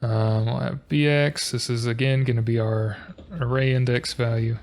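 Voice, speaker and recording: A man hosting a coding tutorial, talking through his code in a low-key, monotone way, thinking aloud with fillers. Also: normal speed. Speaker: programming tutorial host. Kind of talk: casual screen-recorded coding walkthrough.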